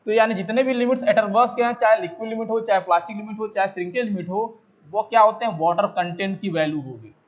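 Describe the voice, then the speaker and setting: A man's voice: sits high, quick pace. An Indian man lecturing as a teacher, explaining step by step, repeating points and asking questions that he answers himself. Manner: repetitive, asks and answers own questions. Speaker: civil engineering lecturer. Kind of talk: explanatory lecture mixing Hindi with English technical terms. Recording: dull, lo-fi microphone sound.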